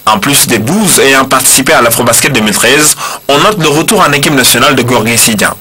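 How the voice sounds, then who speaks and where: A man reading a scripted sports report as voice-over, crisp and even. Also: medium pitch, brisk.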